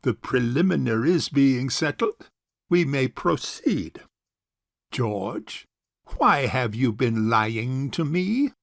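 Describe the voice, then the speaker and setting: A man's voice: medium pitch, animated, slow, creaky, dry recording, clean studio sound. An actor playing a suave, sarcastic bachelor uncle in his forties in an audio farce, speaking in a pompous, formal way. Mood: icily formal. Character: suave, sarcastic forty-two-year-old rich bachelor uncle. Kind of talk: stage farce audio drama acting.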